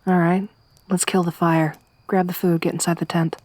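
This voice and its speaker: A woman voicing a tough young woman survivor around thirty in an ASMR roleplay, soft-spoken with dry humor. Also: on the low side, little pitch movement, moderate pace, close to an everyday voice. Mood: wry. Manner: hushed whispering when hiding.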